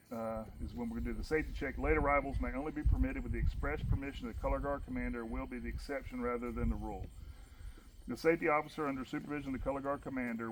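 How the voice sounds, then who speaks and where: A man with a big, loud voice, leading an informal musket training session for his group and reading out the rules. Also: medium pitch, a little hiss or hum, poor audio. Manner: loud, animated, talks with his hands in stories.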